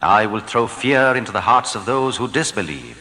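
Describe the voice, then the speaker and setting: A man reads scripture in a fairly low but forceful, bright voice. His delivery is solemn, formal and deliberate, declaimed into a long reverb. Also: lively intonation. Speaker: narrator of scripture translation. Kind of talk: solemn scripted reading of archaic scripture translation.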